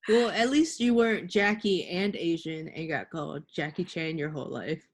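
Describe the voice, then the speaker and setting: A woman's voice: low voice, normal speed. A young woman co-hosting a casual, joking group chat on a podcast. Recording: clearly noisy background.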